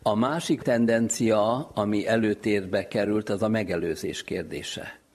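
Husky, low-voiced man. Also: a little mellow. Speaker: infectious disease specialist being interviewed. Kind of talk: expert interview, explanatory.

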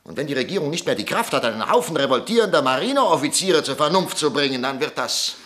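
An actor playing an agitated, idealistic middle-aged politician and professor in a German radio drama, speaking emphatically. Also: male voice, a little high, very animated, a bit bright. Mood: indignant. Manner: impassioned, emphatic, halting when upset. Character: indignant professor and parliament deputy, agitated, idealistic.

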